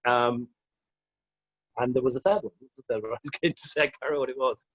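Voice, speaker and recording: A man's voice: medium pitch, fast talker, a bit bright, husky, no room sound, highs cut off. A middle-aged man from Scotland, a guest answering interview questions in a wry, rambling way, with self-deprecating humor and frequent laughs.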